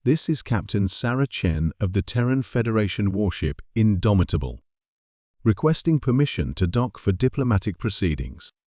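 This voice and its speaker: Man as a confident warship captain woman, low-pitched. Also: sing-song, moderate pace, dark tone, no echo, clean studio sound. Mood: formal. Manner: polite, assured, understated. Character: confident, dryly amused female human warship captain.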